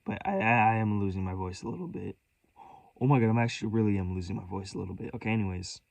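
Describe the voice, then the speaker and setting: A man's voice: lowish voice, quick pace, creaky, dry recording. Man ranting in a dry close recording. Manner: profane, scattered, many restarts; self-aware, comments on own spiraling. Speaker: vlogger. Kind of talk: rambling storytime rant.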